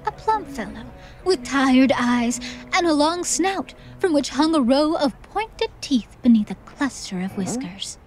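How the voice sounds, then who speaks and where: A woman's voice: high voice, lively intonation, a little slow, slight background noise. A woman narrating a fantasy audiobook in a scripted, theatrical storytelling style. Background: soft music.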